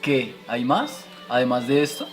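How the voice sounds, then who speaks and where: A man's voice: mid-range voice, sing-song, unhurried, faint music behind. A man playing a reluctant, sceptical young son who questions things in an amateur radio drama. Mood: puzzled, curious.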